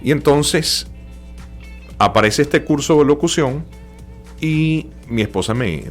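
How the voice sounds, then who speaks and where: An older man from Venezuela with a Venezuelan Spanish accent, a radio announcer telling his story as a guest, relaxed and full of hesitations. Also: a little high, slow, faint music behind. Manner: relaxed, hesitant with many fillers. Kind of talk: interview storytelling.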